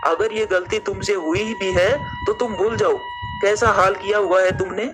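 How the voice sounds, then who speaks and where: Cartoon bird friend: male, high-toned, serious and earnest, voiced by a man, clear music underneath. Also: steady tone, moderate pace, lightly acted. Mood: concerned.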